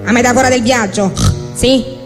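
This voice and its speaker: Guy doing a cheeky roman slacker, high voice, slangy and pushy, echoing hall. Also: fairly even intonation, normal speed. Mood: cocky, pushy. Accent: Roman Italian. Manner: slangy, insistent. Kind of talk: comic stage reading. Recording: hall reverb, music behind.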